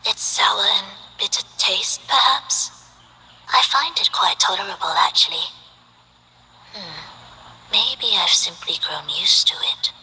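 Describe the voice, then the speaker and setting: Tragic game lady, soft whispery voice but crisp and bright, numb, really slow. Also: mid-range voice, lively intonation, heavily acted, low-quality recording. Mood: detached calm. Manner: numb, detached, measured solemn delivery. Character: soft-spoken, numb, tragic woman conductor and underworld guardian. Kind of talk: scripted video game character acting.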